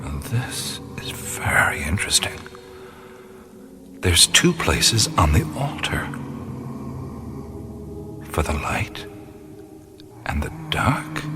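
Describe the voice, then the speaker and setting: An actor playing a curious, wry male explorer who talks to himself in hushed half-whispers, in a studio radio drama. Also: fairly low pitch, exaggerated voice. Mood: awed. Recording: studio radio play with music behind. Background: music.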